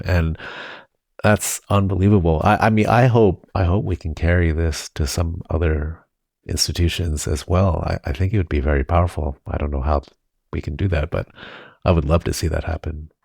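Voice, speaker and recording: A man with an extremely deep voice hosts a casual interview. He speaks in a friendly, easygoing way, often doubling words as he gathers his thoughts. The sound is dry and close to the mic. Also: vocal fry, darkish tone, no room sound. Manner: friendly, repeats words while finding them. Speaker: host.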